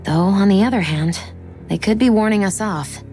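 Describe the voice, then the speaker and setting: An actress playing a stern, cool young woman warrior in a fantasy game, brisk and businesslike. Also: medium pitch, dark tone, soft background music, natural voice. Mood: cool, critical. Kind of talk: dramatic video game acting.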